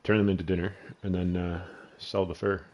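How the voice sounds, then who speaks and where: A man's voice: low-pitched, little pitch movement, fairly quick, a little mellow, lo-fi sound. A middle-aged man with a Canadian accent, rambling and swearing through casual commentary while playing a video game. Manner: rambling and profane. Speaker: gaming video host.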